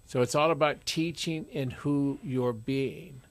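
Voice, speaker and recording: A middle-aged man hosting a radio talk show in a studio, talking loudly and forcefully with jokes. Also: mid-range voice, a little slow. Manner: loud, forceful, joking.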